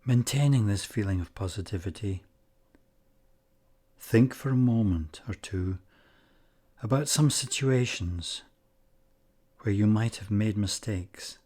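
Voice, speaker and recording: Male meditation guide, low-pitched and very unhurried, calm, spacious phrasing. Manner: calm, measured, short phrases with long pauses.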